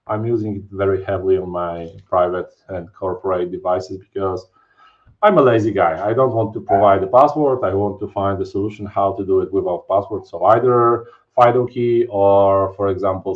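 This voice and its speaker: A man with a Polish accent walks viewers through a security topic in a live online session. His voice sits low and comes over a dull, lo-fi webcam stream from a home office. He talks in a loose, explanatory way, with fillers and frequent "right?" checks. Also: moderate pace. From Poland. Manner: explains casually, many fillers and "right?" tags. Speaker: presenter. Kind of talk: live technical webinar talk. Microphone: webcam video call.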